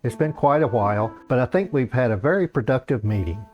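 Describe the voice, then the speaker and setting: A man voicing an elderly moon goddess in a comic fantasy story, a grand yet brisk and businesslike grandmother. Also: medium pitch, animated, highs cut off, over music, lightly acted.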